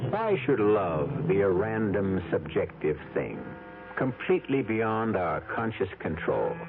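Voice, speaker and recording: An actor playing an ominous, knowing host narrating a 1970s radio mystery. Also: male voice, lowish voice, wildly swooping pitch, measured pace, heavily acted. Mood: ominous, suspenseful. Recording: muffled vintage radio broadcast with music.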